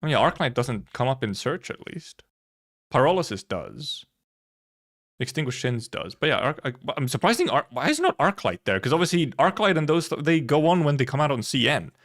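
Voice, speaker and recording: A man live streaming in casual chat, rambling and haltingly thinking aloud, with some offhand swearing. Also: fairly high pitch, big pitch swings, a bit bright. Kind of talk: casual chat, reacting to viewers.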